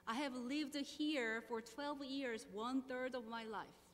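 A woman pastor in her thirties from South Korea, speaking English with a Korean accent, leading prayer, reading scripture and preaching in a measured, earnest way. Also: high-pitched. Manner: measured, earnest, slow deliberate phrasing. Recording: long hall reverb, faint hum.

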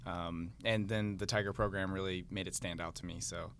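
Bright-voiced young man, dry humor. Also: sits low, steady tone, moderate pace, a little hiss or hum. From United States. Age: young adult. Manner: dry, laid-back, understated humor. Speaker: MBA student guest. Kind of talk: casual interview chat.

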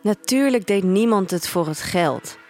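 An actress playing an introspective young woman who narrates her life in a dystopian future, in measured, deliberate first-person literary storytelling. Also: mid-range voice, expressive, a little mellow, natural voice. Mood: reflective. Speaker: narrator of a radio drama. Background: music.

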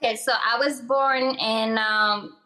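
A woman's voice: on the high side, fairly even intonation, slow, pushed and bright. A woman from Morocco with a Moroccan accent, Arabic her first language, chatting playfully as an interview guest and laughing often. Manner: giggly, playful. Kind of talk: casual interview chat.

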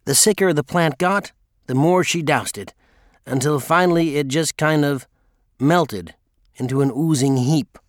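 A man's voice: on the high side, unhurried, no echo, clean studio sound. A man narrating a memoir audiobook in a scripted first-person storytelling style.

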